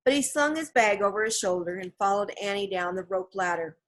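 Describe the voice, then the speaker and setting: A woman narrates a children's novel in a medium-pitched voice. She tells the story in a measured, expressive way, as a scripted read-aloud. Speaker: storybook reader.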